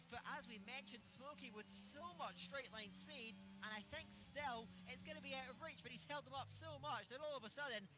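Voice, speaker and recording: A man giving fast, analytical live play-by-play on a sim race. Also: very high-pitched, noisy background. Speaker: sim racing co-commentator. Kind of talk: live esports race commentary.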